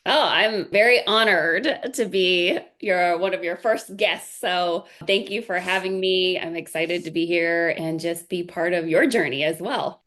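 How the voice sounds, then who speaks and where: A woman's voice: fairly low pitch, measured pace, few pauses, fairly bright tone. A woman in her forties, a podcast guest, chatting casually and telling family stories with frequent "right?" tags. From United States. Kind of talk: casual interview chat.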